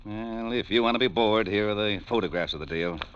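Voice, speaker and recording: A man's voice: on the low side, fairly quick, fairly bright tone, smooth, lightly acted. An actor playing a blunt, sceptical, exasperated male homicide lieutenant in a 1940s radio drama. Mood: matter-of-fact. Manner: blunt, sardonic, impatient. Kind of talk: 1940s radio detective acting. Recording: dull old radio recording.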